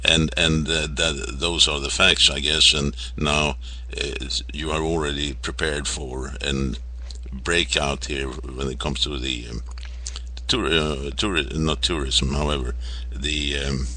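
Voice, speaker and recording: A man conducting an informal interview, halting and wordy as he rephrases his questions. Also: extremely low pitch, steady tone, sharp tone, slight background noise.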